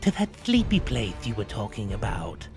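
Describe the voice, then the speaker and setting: A man's voice: lowish voice, huge pitch swings, normal speed. A man playing a hungry, sly snake in an audio drama, coaxing with false friendliness and menace. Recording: loud music under the voice.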